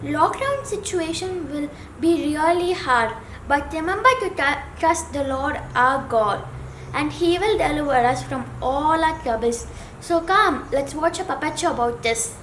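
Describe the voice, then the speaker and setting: A woman hosts a children's puppet show in a very high voice. She speaks scripted lines in an echoey room with faint noise. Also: expressive, measured pace, fairly bright tone. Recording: roomy echo, faint background noise.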